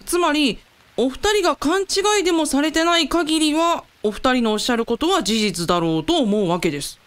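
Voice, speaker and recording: An earnest young woman researcher played by an actress in a radio drama. She is polite and a little anxious, with a high, lively swooping voice. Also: fairly quick. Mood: earnest, careful.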